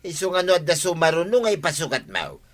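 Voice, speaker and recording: A man's voice: fairly high pitch, sing-song, brisk. An actor with an Ilocano accent playing a teasing, complaining village man in a comedy drama. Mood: easygoing.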